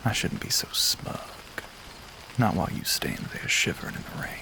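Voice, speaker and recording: A man playing a courtly, softly menacing Southern vampire in an intimate audio roleplay, speaking softly and breathily, often near a whisper, with a Southern US accent. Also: moderate pace, background noise, lightly acted. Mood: smug, then kind.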